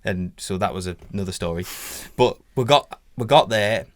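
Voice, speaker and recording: A man's voice: fairly low pitch, moderate pace, dry recording, studio quality. A man, co-hosting a podcast, swearing and bantering in casual chat. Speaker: co-host.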